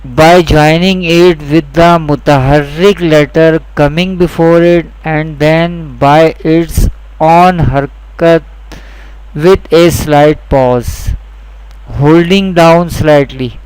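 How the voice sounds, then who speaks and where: Slow, clear-voiced male teacher. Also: fairly high pitch, fairly even intonation, a little mellow, no echo, low-quality recording. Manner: deliberate, repeats each point twice. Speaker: Quran teacher. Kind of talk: slow, repetitive instructional lesson.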